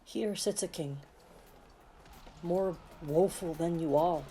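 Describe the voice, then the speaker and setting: A woman playing a gentle, grieving king in a Shakespearean audio drama, lamenting in poetic exclamations. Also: on the low side, slow pace, background noise. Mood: anguished grief. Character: gentle, sorrowful, weary medieval king.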